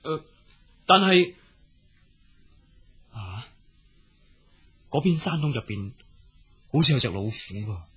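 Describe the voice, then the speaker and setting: A pensive young prince from a radio drama. His voice is very husky and rough at a middle pitch, and he speaks earnestly, sighing and musing as if to himself. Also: big pitch swings, takes it slowly, long pauses, a bit bright, heavily acted. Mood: hesitant, secretive. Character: gentle compassionate young prince, pensive, self-sacrificing. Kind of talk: Cantonese radio drama acting.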